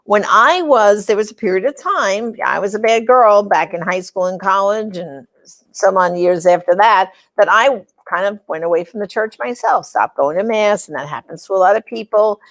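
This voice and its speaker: A woman's voice: mid-range voice, moderate pace. A middle-aged woman hosting a religious program, speaking in a conversational, explanatory way and stressing key words as she gives a devotional talk.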